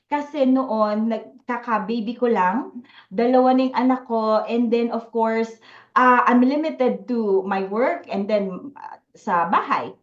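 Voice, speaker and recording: Chatty Filipina workshop host. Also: female voice, a little high. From Philippines, Bicol. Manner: chatty, mixes Tagalog and English, frequent "okay?" check-ins. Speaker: Amazon selling workshop host. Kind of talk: online workshop presentation. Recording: echoey room, slightly muffled audio.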